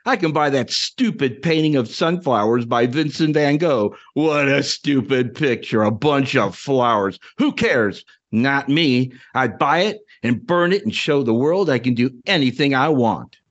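A man's voice: medium pitch, animated, unhurried, few pauses, highs cut off, voice pushed up, close to an everyday voice. A man voicing a crotchety, boastful old schemer with a Southern US drawl in a dramatic story reading. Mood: gloating, scornful. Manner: cantankerous, ranting.

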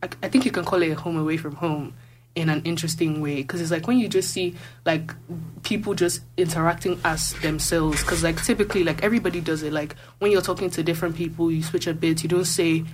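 Rambling young woman, low voice. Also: fairly even intonation, fast talker, slight background noise, low-quality recording. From Nigeria (Lagos). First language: Igbo. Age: young adult. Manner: rambling, filler-heavy with lots of "like". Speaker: student guest on a campus radio show. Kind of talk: casual chat.

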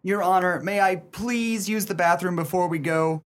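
A man's voice: sits high, moderate pace, natural voice. A man playing a miserable young college student in a radio play, complaining with dry, exasperated sarcasm. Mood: pleading, put-upon.

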